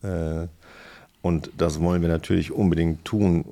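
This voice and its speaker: A man's voice: low-pitched, steady tone, moderate pace, mellow. A man hosting a casual conversational interview, asking informal, rambling questions. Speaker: podcast host interviewer.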